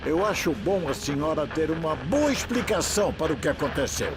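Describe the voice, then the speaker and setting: A man's voice: on the high side, sing-song, fairly quick, music in the background. A man with a Brazilian accent playing an indignant, sarcastic, self-important church leader in an audio drama. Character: grumbling, self-important male church leader in secret cabal.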